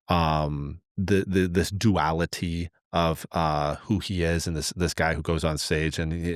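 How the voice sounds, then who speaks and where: A man talking casually as a podcast host, in a halting, earnest, rambling way as he thinks aloud. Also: low-pitched, dry recording, studio quality.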